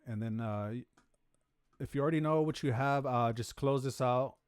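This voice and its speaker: A man hosting a music production tutorial from a home studio, talking through his steps in a relaxed, rambling way with lots of fillers and restarts. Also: moderate pace, smooth, no echo. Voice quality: deep voice. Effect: auto-tune pitch correction on some lines. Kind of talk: casual screen-recorded tutorial. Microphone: studio vocal microphone.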